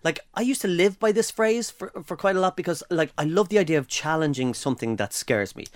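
A man co-hosting a podcast and telling comedy stories. He talks in a lively, self-interrupting way, jumps up in pitch and swears freely. His voice sits close and dry on the mic. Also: brisk, no echo. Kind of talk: casual chat, storytelling.

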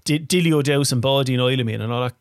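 A man hosting a casual interview, chatty and quick to laugh, with many restarts. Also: mid-range voice, dry recording, clean studio sound. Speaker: podcast host.

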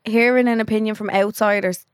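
A woman's voice: mid-range voice, fairly even intonation, a little fast, clean tone, dry recording, clean studio sound. A woman co-hosting a podcast, playful and jokey in casual chat. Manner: playful, giggly, jokey asides. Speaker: podcast co-host.

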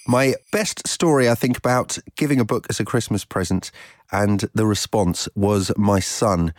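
A man with a fairly low voice shares a personal anecdote like a presenter. The delivery is chatty storytelling, recorded dry without room echo. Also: moderate pace. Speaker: radio presenter.

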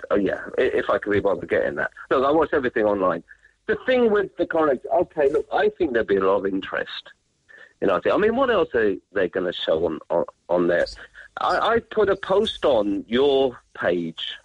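A man from London with a London accent, calling in to a radio talk show and chatting with quick, lively enthusiasm. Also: mid-range voice, husky, no echo. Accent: London English. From London, England. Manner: chatty, animated, laughs easily. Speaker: caller. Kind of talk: phone-in chat. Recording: phone line.

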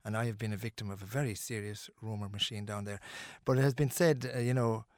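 A man's voice: lowish voice, fairly even intonation, fast, darkish tone, no room sound, studio quality. A middle-aged Irish man, a musician being interviewed, talking earnestly and emphatically with many restarts and fillers. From Ireland.

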